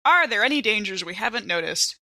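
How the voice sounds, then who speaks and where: A woman with a bright, forward voice plays a tabletop role-playing game. She talks casually, thinking aloud through her moves, and the sound is close and dry. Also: medium pitch, animated, brisk, no echo.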